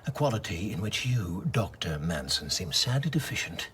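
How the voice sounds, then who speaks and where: A man's voice: low-pitched, very animated, moderate pace, fairly bright tone, husky, full character voice. A man playing a pompous, threatening middle-aged chief doctor in a radio drama, formal and menacing. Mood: indignant.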